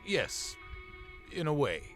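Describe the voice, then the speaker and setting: Man playing a grave, blunt professor, varied pitch. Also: big pitch swings, slow, a bit bright, soft background music, full character voice. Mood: matter-of-fact. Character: grave, secretive male professor and vampire hunter. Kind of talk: visual novel character reading.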